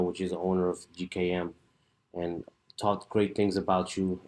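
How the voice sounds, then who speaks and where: A man hosts a podcast with a low voice and an easygoing manner. He asks his questions casually and answers with enthusiastic slang. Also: darkish tone. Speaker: podcast host. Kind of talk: casual interview.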